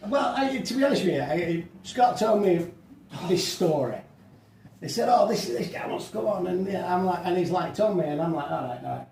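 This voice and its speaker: Bloke, pretty high voice, jokey and laughy, echoey room, faint hiss. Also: male voice, expressive, a little fast, creaky, darkish tone. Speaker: podcast co-host. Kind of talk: casual banter. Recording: echoey room, mic at a distance, faint noise.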